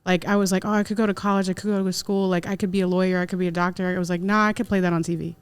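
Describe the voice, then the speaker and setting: A woman with a fairly low, clear voice talks casually as a panel guest. She tells personal stories in an animated way, often voicing her own thoughts as dialogue. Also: racing.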